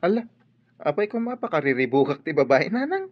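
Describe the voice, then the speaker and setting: A man acting as an earnest young son who wants to become a priest, speaking softly and hesitantly in a radio drama. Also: fairly high pitch, very animated, brisk, breathy edge. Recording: dull audio with faint noise.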